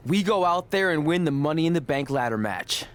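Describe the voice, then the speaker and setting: An actor playing a cocky but earnest young pro wrestler in a video game cutscene, wry and boastful. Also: male voice, a little high, animated, a little fast, natural voice. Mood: excited, boastful.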